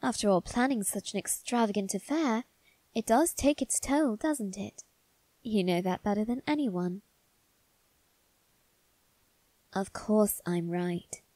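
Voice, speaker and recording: A suave young vampire lord, acted out by a woman, murmurs to the listener in a mellow, unhurried voice. He is doting and genteel, with a condescending, faintly sinister sweetness. It is an intimate ASMR roleplay, recorded close and dry. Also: medium pitch, no room sound, natural voice. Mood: soothing, persuasive. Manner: genteel, coaxing, softly condescending.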